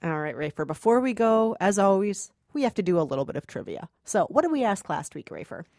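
A woman's voice: mid-range voice, big pitch swings, a little fast, darkish tone, no room sound, studio quality. A woman co-hosting a film podcast in a casual, conversational style. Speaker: podcast co-host.

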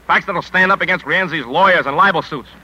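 A man's voice: high-pitched, a little fast, pushed and bright, husky, exaggerated voice, low-quality recording. A man playing a hard-driving, brusque newspaper editor in 1950s radio drama style, clipped and commanding. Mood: forceful, impatient. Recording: vintage 1950s radio broadcast recording.

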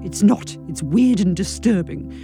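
A woman's voice: medium pitch, expressive, measured pace, dark tone, soft background music, lightly acted. A woman voicing a mopey middle-aged male ghost, a self-pitying ex who sulks about being unwanted, in a comic storybook reading.

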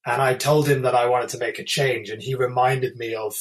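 A man's voice: mid-range voice, steady tone, fairly quick. Hesitant British-raised man, thoughtful. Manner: thoughtful, hesitant, many fillers and restarts. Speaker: illustrator, guest. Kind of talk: casual interview chat.

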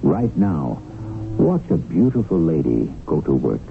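Tinny radio broadcast, male host, theatrical narration, wry, deliberate, lively swooping intonation, creaky, dramatic. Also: very deep voice. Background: music.

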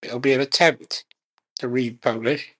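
A man speaking as a guest in an interview, measured and unhurried, speaking in short phrases with pauses. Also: medium pitch, fairly even intonation, slightly rough. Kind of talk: conversational interview.

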